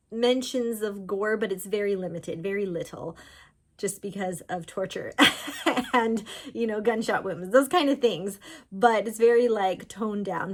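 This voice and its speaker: A woman author talking informally about books, in an animated storytelling way. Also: mid-range voice. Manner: animated storyteller, voicing characters' thoughts in quotes. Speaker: author discussing own books. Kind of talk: chatty informal book talk.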